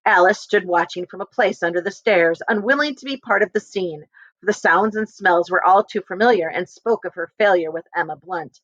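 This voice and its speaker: A woman's voice: mid-range voice, normal speed, fairly bright tone, no room sound, highs cut off. A woman reading a children's novel aloud expressively as a teacher, speaking to students and checking in with them.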